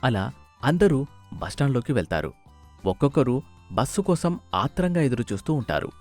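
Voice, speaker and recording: A man's voice: mid-range voice, sing-song, moderate pace, a little mellow. A man narrating a scripted moral story as its storyteller. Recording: music under the voice.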